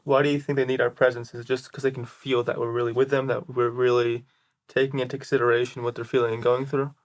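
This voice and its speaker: An American man talks as a podcast host in a casual, informal style. His delivery is rapid and his mid-range voice stays rather flat in melody. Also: medium pitch. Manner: informal, peppers speech with "like". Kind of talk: casual conversational interview.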